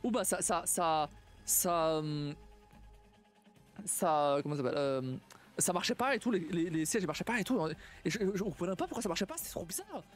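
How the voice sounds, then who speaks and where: High-voiced man in a halting stream chat. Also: expressive, soft background music. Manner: halting, repeats words often, laughs a lot. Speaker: streamer reacting to stories. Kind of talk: casual live-stream reaction.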